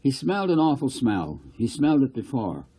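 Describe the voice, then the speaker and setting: Storybook schoolboy, read by a man: earnest, courteous, simply spoken, gravelly edge. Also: mid-range voice, very animated, moderate pace, dark tone, voice pushed up. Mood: matter-of-fact. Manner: brief, earnest replies. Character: earnest, polite young boy with asthma.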